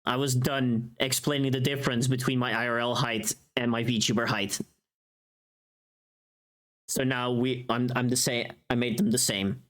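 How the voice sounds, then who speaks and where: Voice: mid-range voice, flat delivery, moderate pace, fairly bright tone, low-quality recording. A livestreaming VTuber with a mid-range voice, talking casually to chat. The delivery is loose and rambling, teasing and informal, with a bit of mild swearing.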